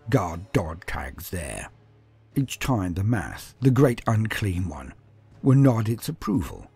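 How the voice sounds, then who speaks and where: A man's voice: on the low side, warm tone, slightly rough, full character voice. A man voicing a grim armoured space marine who recounts battle in a solemn, martial, disdainful storytelling manner. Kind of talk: first-person dramatic storytelling.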